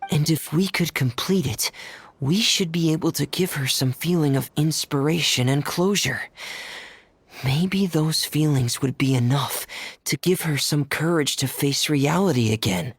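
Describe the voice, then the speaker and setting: Breathy, calm young adventurer. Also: male voice, on the high side, dry recording. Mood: gentle, encouraging. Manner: calm, deliberate, earnest. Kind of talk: video game voice acting.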